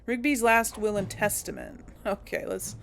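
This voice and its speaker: A woman's voice: on the high side, expressive, normal speed, gravelly, fairly bright tone, a little hiss or hum. A young adult woman giving casual commentary on a game she plays, reacting aloud with laughs and wry remarks. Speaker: let's play host.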